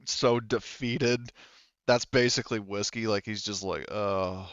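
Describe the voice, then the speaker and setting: A man improvising as game master of a tabletop roleplaying game, halting as he searches for words and swearing casually. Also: on the low side, steady tone, fairly bright tone, smooth, dry recording, highs cut off. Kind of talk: improvised narration of a tabletop roleplaying game, relaying characters' replies.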